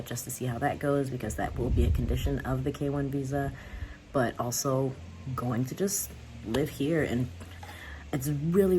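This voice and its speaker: A woman's voice: very deep voice, mellow, a little hiss or hum, low-quality recording. A young woman from the United States talking candidly to her viewers in a casual vlog, rambling and often laughing. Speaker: vlogger. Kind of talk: casual talk to camera.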